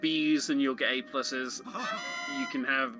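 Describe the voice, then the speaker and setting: A man talks through a video game as a casual commentator, with loud game music behind him. His voice sits at an average pitch, and he explains things in a hesitant, conversational way. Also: steady tone, measured pace. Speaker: speedrun commentator.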